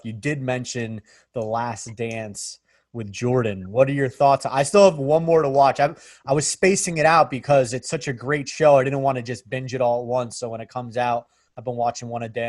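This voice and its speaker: Man, mid pitch, dry close sound, relaxed rambling chat. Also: normal speed, no room sound. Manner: easygoing, rambling with fillers. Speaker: band member hosting a video chat. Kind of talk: casual chat. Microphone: video call.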